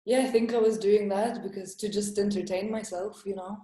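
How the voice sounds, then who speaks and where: A young woman comedian from Estonia, whose first language is Estonian, chatting as an interview guest in a halting, hesitant way with frequent laughs. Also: medium pitch, fairly even intonation, warm tone, echoey room. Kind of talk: casual interview.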